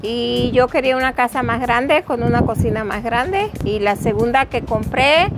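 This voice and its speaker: A woman's voice: a little high, moderate pace. A middle-aged woman, a homebuyer answering interview questions outdoors in a plain, matter-of-fact way. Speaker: homebuyer guest. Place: outdoors in front of a house. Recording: outdoor street noise.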